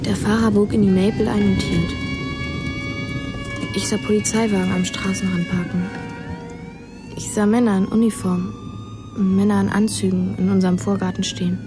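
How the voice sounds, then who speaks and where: A woman's voice: mid-range voice, fairly even intonation, normal speed, long pauses, over loud music, close to an everyday voice. A woman playing a numb, watchful ten-year-old boy, narrating in a matter-of-fact radio drama style. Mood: numb, observing.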